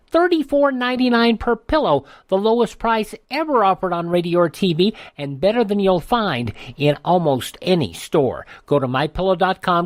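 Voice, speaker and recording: Lively female radio presenter, dry studio sound. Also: mid-range voice, no room sound. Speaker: radio host. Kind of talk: scripted radio presenting and ad read.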